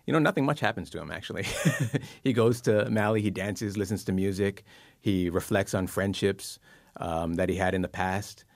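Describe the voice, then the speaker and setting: Deep-voiced man musing on books. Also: creaky, dry recording, clean studio sound. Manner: thoughtful, musing, with pauses and fillers. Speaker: book columnist and psychotherapist. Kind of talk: reflective interview conversation.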